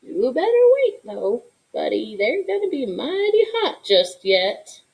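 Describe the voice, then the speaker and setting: Woman putting on a folksy, high-pitched grandmother. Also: sing-song, unhurried, gravelly, highs cut off, heavily acted. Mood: patient, gentle. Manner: folksy, gently coaxing. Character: kindly Southern grandmother, patient, folksy and doting.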